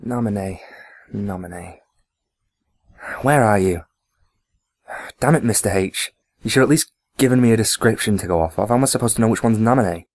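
A man's voice: on the low side, moderate pace, pauses often, lightly acted. A man playing a wary, awkward, antisocial young man in an audio drama, hesitant when flustered. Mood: irritated.

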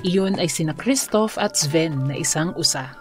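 A woman's voice: low-pitched, lively intonation, a little slow. A woman reading scripted fairy tale narration for children. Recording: music under the voice. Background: background music.

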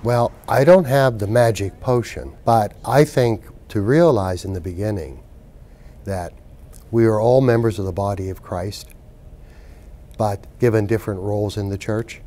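Older bishop, low and mellow. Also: male voice, a little slow. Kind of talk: documentary interview. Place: indoor office with plants.

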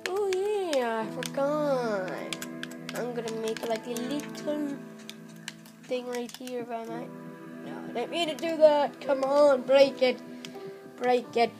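A woman's voice: high-pitched, slow pace. A young female player rambling through casual Minecraft commentary, squealing at small wins, recorded on a phone aimed at a TV. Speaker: Minecraft player filming her screen. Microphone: phone camera. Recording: phone camera aimed at a TV, music in background.

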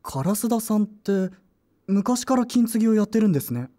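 An earnest, polite boy , acted by a woman, speaks with a mellow, relaxed tone. The audio drama has music under the voice. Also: medium pitch, normal speed, close to an everyday voice. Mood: curious, thoughtful. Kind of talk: Japanese audio drama acting.

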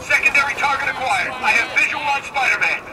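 An actor playing an excitable armed male mercenary in a video game, speaking in an urgent, clipped way. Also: extremely high pitch, fast talker, heavy background noise.

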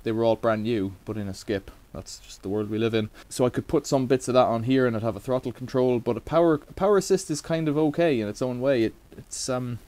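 A man's voice: mid-range voice, fast, hardly any pauses, darkish tone. A middle-aged man casually demonstrating a bike repair in a cluttered workshop, rambling with wry asides.

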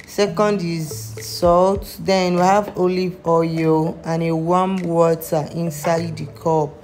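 Nigerian woman narrating a cooking tutorial. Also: on the low side. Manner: friendly step-by-step instruction with some non-standard phrasing. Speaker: cooking channel host. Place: home kitchen. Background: soft background music.

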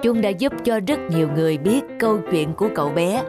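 Woman as a kindly shopkeeper over loud music. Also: medium pitch, animated, fast talker, lightly acted. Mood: reflective. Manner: kindly, sincere. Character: kindly middle-aged male toy shop owner.

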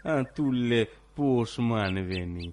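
A man's voice: mid-range voice, expressive, slow, a little mellow, a little hiss or hum. A man playing an affable, chatty village washerman in a cartoon, with rustic speech and repeated words. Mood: polite, eager. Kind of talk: cartoon voice acting, rustic dialogue. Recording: music behind the voice. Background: background music.

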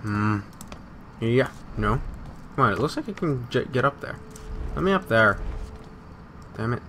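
A man's voice: medium pitch, lively intonation, a little slow, fairly bright tone, slight background noise. A man giving casual gameplay commentary, muttering to himself, repeating phrases and swearing. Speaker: gamer recording a let's play.

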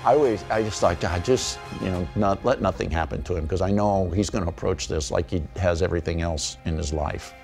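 A man reflects in a casual, conversational interview style. His voice is very low with heavy fry, and music is prominent underneath. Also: a little fast, few pauses.

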